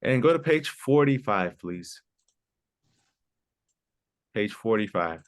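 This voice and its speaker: A man's voice: lowish voice, animated, slow pace. A man teaching an online English class, patiently repeating key phrases and sometimes explaining in Spanish. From Mexico.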